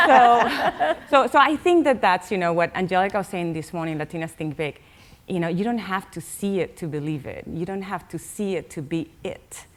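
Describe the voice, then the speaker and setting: A woman's voice: on the low side, slight background noise. A woman from Puerto Rico with a Puerto Rican Spanish accent, a Spanish speaker, telling a story on a conference panel with an upbeat, laughing manner. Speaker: panelist, tech executive. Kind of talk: panel discussion.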